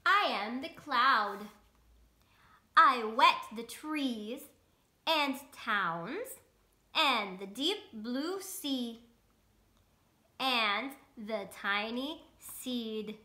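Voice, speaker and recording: A woman voicing a proud rain cloud from a picture book, emphatic and measured. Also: fairly high pitch, lively intonation, bright, forceful tone, full character voice. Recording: roomy, echoey home recording.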